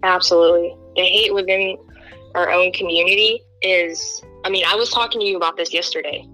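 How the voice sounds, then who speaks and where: A woman's voice: on the low side, a little fast, faint music behind, poor audio. A young woman from Kenya as an interview guest, speaking in an earnest, emphatic way. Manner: earnest, emphatic, animated when quoting others. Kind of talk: candid interview talk.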